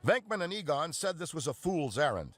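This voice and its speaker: Game guide, eager paranormal entrepreneur, higher pitch, very animated, chatty, salesmanlike. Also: male voice, fairly quick, no room sound. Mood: upbeat, confiding. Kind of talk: scripted game briefing.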